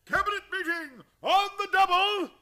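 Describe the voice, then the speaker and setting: A man's voice: very high voice, fairly even intonation, normal speed, bright, forceful tone. An actor playing a blustering, earnest man who believes he is the President, declaiming in old-time radio comedy style. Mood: pompous, excited. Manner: pompous, declamatory.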